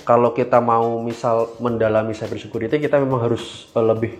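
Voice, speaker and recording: A young man in his twenties speaks as an interview guest with a mid-pitched voice. His delivery is casual but halting, often stopping on filled pauses as he explains. Faint music sits behind him. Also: little pitch movement. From Indonesia. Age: 20s. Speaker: guest cyber security engineer. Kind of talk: casual podcast interview answers.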